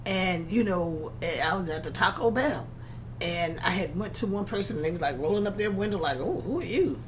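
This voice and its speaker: A woman's voice: lowish voice, lively intonation, a little fast, a little hiss or hum, low-quality recording. A woman co-hosting a casual chat in an agreeable, conversational way, often chiming in briefly. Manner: agreeing, frequent short interjections.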